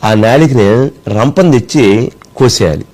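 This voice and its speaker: A man giving political commentary in a deliberate, emphatic way, putting rhetorical questions. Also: medium pitch, expressive, a little mellow.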